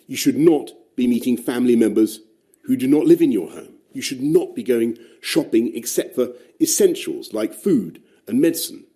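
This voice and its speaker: A man voicing a formal, measured government announcement in a radio play. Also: medium pitch, animated, dark tone, lightly acted. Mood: stern. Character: stern male government announcer.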